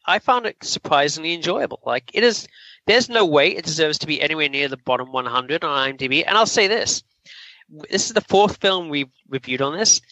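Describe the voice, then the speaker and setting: Man, higher-pitched, crisp bright tone, podcast co-host, informal review talk, dry sound. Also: dry recording, rough recording. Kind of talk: casual film review chat.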